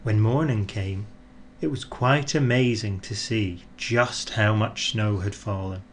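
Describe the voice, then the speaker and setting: Expressive man narrating a children's storybook. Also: on the low side, slow, slight background noise. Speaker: audiobook narrator.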